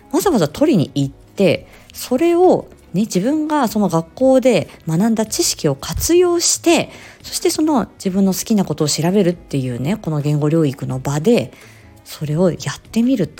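A woman speech therapist telling stories in a casual, expressive solo chat, acting out short quotes as she explains. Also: mid-range voice, breathy edge. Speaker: speech-language therapist hosting an audio diary.

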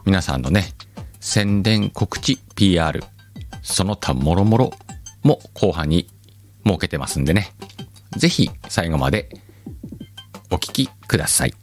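A very deep-voiced man with a lilt hosts his own radio-style show. His pitch swings widely as he muses aloud, teasing himself. Soft music plays faintly behind him. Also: animated, a little slow, long pauses. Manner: rambling, self-mocking, chuckles at himself. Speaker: podcast host. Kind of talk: casual solo radio-style talk.

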